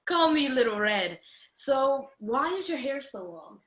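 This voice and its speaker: Echoey recording, woman as a young storybook girl, bright, slow-paced, blunt, curious, slangy, radio play. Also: sits high, very animated, exaggerated voice. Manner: casual modern slang, blunt. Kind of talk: irreverent radio play acting. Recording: roomy echo with noisy ambience.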